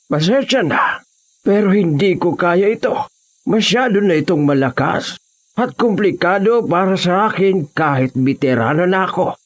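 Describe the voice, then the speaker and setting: A man playing an elderly veteran folk healer, calm, frank and measured, in a horror audio drama. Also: high-pitched. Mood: apologetic, resigned.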